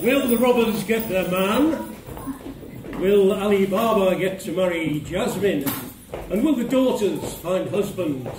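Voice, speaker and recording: A man's voice: high-pitched, animated, a little slow. A man giving scripted narration for an amateur stage pantomime. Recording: distant echoey hall with clear noise.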